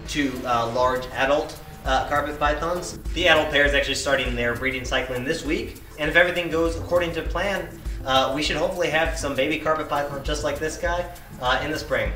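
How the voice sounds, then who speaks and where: A man's voice: on the high side, a little fast, sharp tone. A young man hosting a reptile vlog outdoors beside his car, talking casually and eagerly with lots of "uh" fillers. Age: young adult. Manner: enthusiastic, many "uh" fillers. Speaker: reptile keeper and channel host. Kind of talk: casual vlog showing animals. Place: outdoors by an open car on a dirt lot. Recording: roomy distant sound with music underneath.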